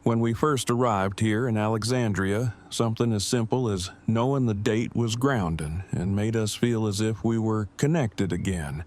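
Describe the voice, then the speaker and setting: Man playing a low-voiced, formal, earnest, bookish husband. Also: a little mellow, lightly acted. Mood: formal, thoughtful. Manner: formal, verbose, precise, measured. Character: earnest, bookish, devoted bridegroom with formal wordy speech. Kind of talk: audio drama dialogue.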